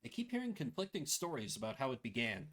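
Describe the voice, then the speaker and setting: A man's voice: medium pitch, big pitch swings, quick pace, a bit bright, voice pushed down. A man voicing an earnest, inquiring young woman painter in a video game. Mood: earnest, questioning.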